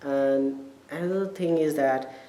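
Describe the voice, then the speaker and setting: A man's voice: a little high, slow pace, dark tone, faint music behind. A young man with a Nepali accent gives casual interview answers as a college student, hesitating and searching for words. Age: young adult. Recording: echoey room with background noise.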